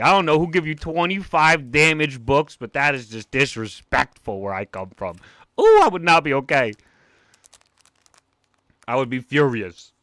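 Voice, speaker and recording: A young man with a thick New York accent who gets excited fast, talking in a bouncy, cartoon-like way. His voice sits a bit high and sounds bright and forceful. The sound is close and dry, with no room around it. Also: no echo. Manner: excitable, animated, talks like a cartoon character. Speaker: comic collector streamer. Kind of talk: casual livestream chat.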